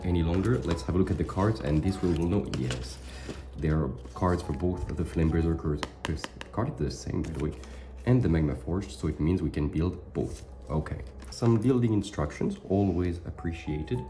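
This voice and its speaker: A low-pitched man hosts a casual miniatures unboxing, sounding slightly sick and not fully clear. He talks things through loosely, fixing his words as he goes. Music plays clearly underneath his voice. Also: normal speed. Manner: chatty, thinks aloud with small self-corrections. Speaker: wargaming channel host. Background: background music.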